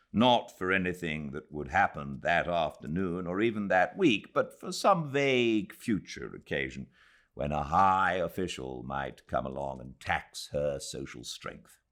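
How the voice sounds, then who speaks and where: A British man narrating a literary classic as an audiobook reader, with measured, dramatic phrasing and expressive delivery. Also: low voice. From Britain.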